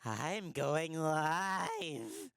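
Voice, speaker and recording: Through loud noise and clicks comes a low, menacing killer. The delivery is extremely slow and taunting, swinging wildly in pitch, in audio drama style. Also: huge pitch swings, gravelly, full character voice. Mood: taunting menace. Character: menacing, taunting killer stalking a radio station. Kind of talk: audio drama villain acting. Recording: very noisy signal with clicks.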